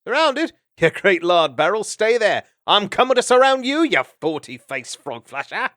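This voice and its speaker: A man voicing a cheeky young male mouse thief who laughs and yells playful insults. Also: mid-range voice, big pitch swings, unhurried, no echo, studio quality, pitched above the performer's own voice. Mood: roaring taunts. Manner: boisterous, laughing, insult-hurling.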